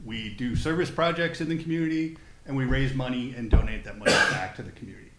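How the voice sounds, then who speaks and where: A male guest with a middle-pitched voice and an open, friendly way of explaining things. His voice carries a noticeable roomy echo, as if the mic is picking up the space around him. He speaks like a local club member describing a community event. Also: fast, heavy background noise. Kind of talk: casual interview.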